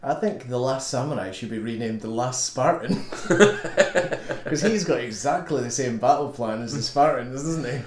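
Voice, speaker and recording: A man's voice: mid-range voice, animated, normal speed, roomy sound, a crowd in the background. A man co-hosting a casual film commentary chat, with dry, sardonic wisecracks. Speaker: podcast co-host.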